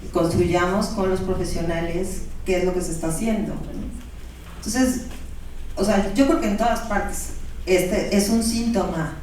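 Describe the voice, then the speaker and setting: A woman's voice: medium pitch, measured pace, darkish tone, a little hiss or hum, poor audio. A woman speaking at a symposium panel, reflective and hesitant, full of fillers, restarts and tag questions.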